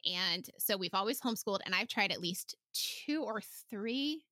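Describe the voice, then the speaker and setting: A woman hosting a casual podcast interview, telling stories in an animated, informal way. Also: mid-range voice, moderate pace, no room sound, clean studio sound. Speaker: podcast host; homeschooling parent.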